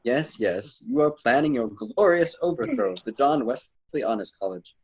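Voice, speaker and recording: Guy doing a lofty old-world poet guide, bit husky, formal and stagey, radio drama. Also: medium pitch, lively intonation, normal speed, darkish tone. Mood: amused, admonishing. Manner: formal, theatrical.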